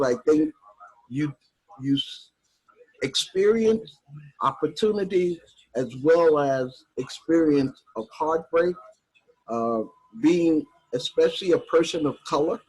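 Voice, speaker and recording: A woman in her eighties from Harlem, New York, reflecting slowly on her life during a video call workshop, with soft laughs. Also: deep voice, breathy edge, poor audio. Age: 80s. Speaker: workshop participant.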